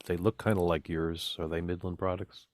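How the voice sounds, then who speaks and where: A man's voice: low-pitched, level tone, moderate pace, darkish tone, no echo, studio quality. A man asking questions casually as a participant in a meeting.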